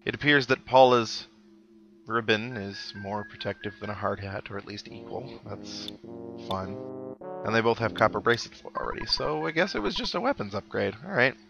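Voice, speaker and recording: Guy with a lowish voice doing sarcastic casual game commentary, kinda muffled lo-fi mic, loud game music behind him. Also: animated, normal speed, creaky. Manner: wry, sarcastic asides. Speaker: gaming show host, player. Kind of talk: casual let's-play commentary, reading game text aloud. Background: synthesized video game music.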